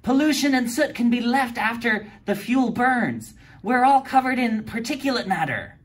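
A bookish storybook schoolgirl, put on by a man reading aloud explains what is happening in a high, raised voice. She sounds eager and sure of her research. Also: lively intonation, moderate pace. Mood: explaining. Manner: quoting research from her book.

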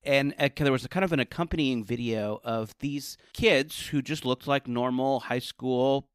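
A man's voice: medium pitch, normal speed, clean tone, dry recording, clean studio sound. A middle-aged American man chatting casually as a podcast guest, rambling along in an informal, self-deprecating way. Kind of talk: casual interview chat.

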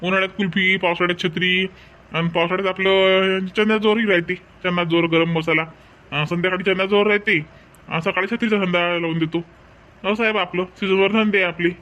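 High-pitched village street seller, acted by a man, Varhadi accent. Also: fairly even intonation, a little fast, bright, forceful tone. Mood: persuasive, friendly. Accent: Varhadi Marathi from Vidarbha. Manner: folksy, talkative sales patter. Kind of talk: comic cartoon dialogue.

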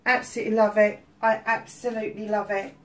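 A woman's voice: medium pitch, flat delivery, fast. A middle-aged woman giving a casual tarot reading at home, a little stuffy-nosed, chatty with many ums. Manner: chatty, warm-hearted asides with many ums. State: slightly stuffy-nosed from illness. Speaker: tarot reader. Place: home room at a table of crystals.

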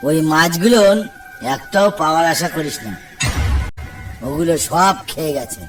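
Older village fisherman uncle played by a man, high voice, scolding and foreboding, cartoon horror-comedy, off-mic over music. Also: normal speed, natural voice. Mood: stern caution. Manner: bossy, ominous warnings, teasing. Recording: distant mic under clear background music.

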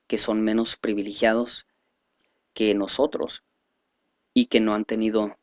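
A man reading a speech aloud in a halting, careful way, stumbling over words now and then. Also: lowish voice, no room sound. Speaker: reader presenting a selected speech.

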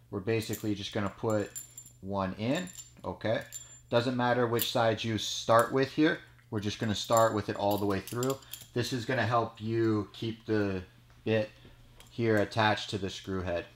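Low-voiced man explaining in an echoey room. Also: fairly even intonation, unhurried. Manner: casual, explanatory, frequent "okay?" check-ins. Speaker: judo coach. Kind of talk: how-to demonstration. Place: small storage room with mats, indoors. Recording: echoey small room, faint noise.

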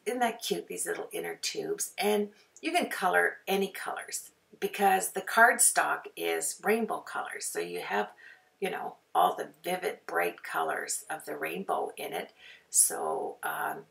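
A woman with a raspy voice from a sore throat, narrating a card-making tutorial as a chatty, rambling voice-over. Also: fairly low pitch, recorded from a distance. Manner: chatty, rambling, with frequent fillers. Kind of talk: casual voice-over for a craft video.

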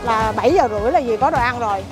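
A woman's voice: fairly high pitch, expressive, racing, over music. A middle-aged woman with a Southern Vietnamese accent who runs a market food stall, chatting casually and listing her dishes one after another. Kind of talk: casual interview chat.

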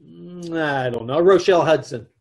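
A middle-aged man acts out a wry, put-upon husband from an old radio comedy. His voice is husky and a little high. He wisecracks with weary exasperation. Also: normal speed, darkish tone. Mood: exasperated. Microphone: video call.

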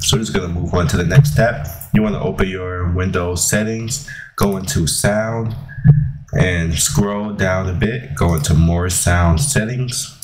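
A man hosting a software tutorial, talking informally and step by step in an instructional way. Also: deep voice. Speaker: tutorial host.

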